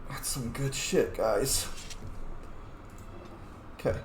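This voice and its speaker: A man's voice: mid-range voice, very slow. A man giving casual, off-the-cuff commentary as he records his video game playthrough, muttering to himself. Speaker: gamer recording a roleplay playthrough. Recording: roomy echo, faint background noise.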